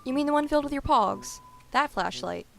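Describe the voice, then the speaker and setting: Woman as a high-voiced, wry young girl adventurer. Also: lively intonation, brisk, creaky, a bit bright, faint music behind, full character voice. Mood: casual, concerned. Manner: wry, casual, dry asides. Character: sardonic young girl adventurer, narrating her nervous exploring. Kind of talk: fandub game narration and dialogue.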